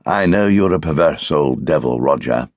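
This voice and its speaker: A man's voice: a bass voice, expressive, moderate pace, no echo. A man voicing a laconic, absent-minded English husband in an audiobook, with a dry, curt, humorous manner. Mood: wry.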